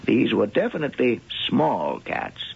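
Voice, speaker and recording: An actor playing a suave, secretive male professor who studies cats, smooth and evasive in 1970s radio acting. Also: mid-range voice, huge pitch swings, unhurried, heavily acted. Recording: lo-fi old radio broadcast with hiss.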